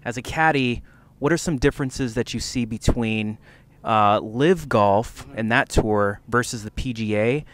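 Male interviewer with a clear, smooth voice, reading questions off a list. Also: medium pitch, a little slow. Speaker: interview host.

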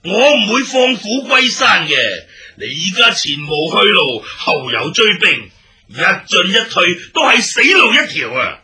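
A man's voice: high-pitched, big pitch swings, unhurried, bright, forceful tone, heavily acted. An actor playing a haughty, warlike king in a radio drama, imperious and theatrical, with a Hong Kong Cantonese accent. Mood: menacing. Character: haughty warlike male enemy king leading his army.

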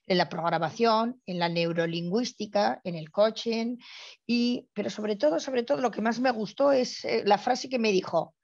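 A middle-aged woman introducing a speaker in an effusive, emphatic way with rhetorical asides, partly reading out. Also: mid-range voice. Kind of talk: introduction speech, partly read out. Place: indoor room, on a video call. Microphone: earphone headset on a video call. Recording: dull lo-fi video call sound.

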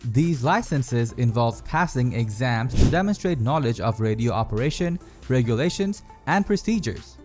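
A man delivers scripted explainer narration in a polished, presentational way. His mid-range voice moves up and down in a lively fashion, with faint music playing behind him. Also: mid-range voice. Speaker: video narrator. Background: faint background music.

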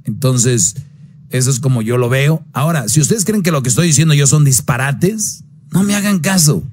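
A man's voice: lively intonation, normal speed, darkish tone, a little hiss or hum. A man hosting a call-in advice show on the radio, blunt and preachy, pushing his voice up to stress a point. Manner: blunt, preachy, swings pitch up for emphasis.

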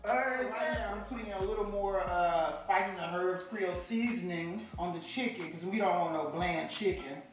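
A man's voice: high-pitched, moderate pace, hardly any pauses, crowd noise. An American man in his thirties cooking as a guest, with teasing, sassy, casual banter. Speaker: guest cook. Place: home kitchen, indoors. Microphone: camera a few feet away. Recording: echoey kitchen, distant mic, music behind.

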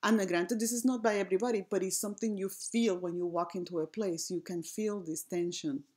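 A woman from gives a reflective presentation over slides, pausing often with ums and restarting her phrases. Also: mid-range voice, steady tone, a little mellow. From Puerto Rico. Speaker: design lecturer.

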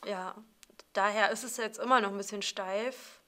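A woman's voice: mid-range voice, steady tone, moderate pace, sharp tone. A young woman, an actor being interviewed, who speaks in a reflective, slightly guarded way. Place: indoor theatre space with brick wall.

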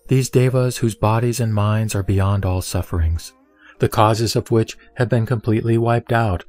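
A man with a somewhat low voice reads scripture in a slow, solemn, measured manner, carefully sounding out each Sanskrit name. The recording is dry and close, with soft music playing faintly underneath. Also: no room sound. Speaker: sutra reciter.

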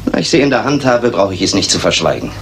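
A theatrical, vengeful villain in a radio crime play. The voice is fairly low and very animated, with formal, measured menace. Slight noise lies in the background. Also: male voice. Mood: cold menace. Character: vengeful, theatrical male murderer, coldly courteous. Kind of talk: German radio crime drama acting.

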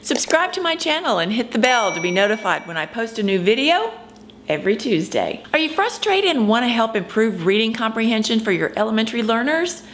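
A woman's voice: on the high side, sing-song, a little fast, fairly bright tone, a little hiss or hum. A woman in her fifties presenting a scripted instructional video as a drama teacher, speaking emphatically to camera. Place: indoor room at home.

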